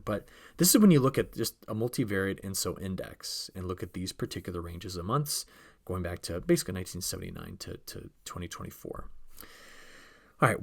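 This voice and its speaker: A man with a fairly low voice gives an informal weather briefing. He explains like a forecaster talking straight to his followers, conversational and emphatic, often putting questions to the listener. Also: vocal fry. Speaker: weather forecaster presenting an outlook.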